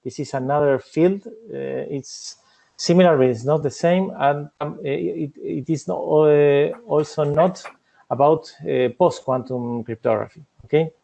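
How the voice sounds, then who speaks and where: Argentine guy presenting, lots of uhs and restarts, slightly high voice. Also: creaky, dark tone. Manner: halting, many fillers and restarts. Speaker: cybersecurity researcher presenting a talk. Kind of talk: conference presentation.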